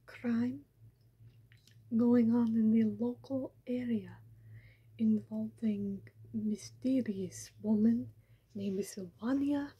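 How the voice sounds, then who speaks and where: A woman's voice: a little high, full character voice. Woman voicing vampire detective, mock-serious, airy whisper, lo-fi. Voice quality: breathy whisper. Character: vampire posing as a world-famous detective. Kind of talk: whispered ASMR roleplay.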